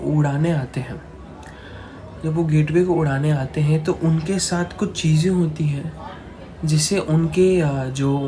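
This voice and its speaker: A man with an Indian accent reviewing a film off the cuff as a channel host, halting and repeating himself, dropping English phrases into Hindi. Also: on the high side, dark tone. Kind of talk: unscripted movie review to camera. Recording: clearly noisy, ambient background noise.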